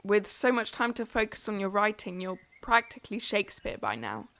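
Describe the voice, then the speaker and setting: Young woman, acted, audio drama, hardworking farm daughter, medium pitch, earnest and supportive. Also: big pitch swings, normal speed, gravelly, fairly bright tone. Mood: encouraging. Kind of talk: amateur audio drama acting.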